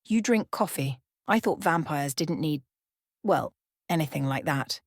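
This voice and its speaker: A woman's voice: deep voice, expressive, measured pace, dry recording, clean studio sound, full character voice. A woman voicing an easygoing, amused male hacker in a bedtime story, with a wry manner. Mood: amused, surprised.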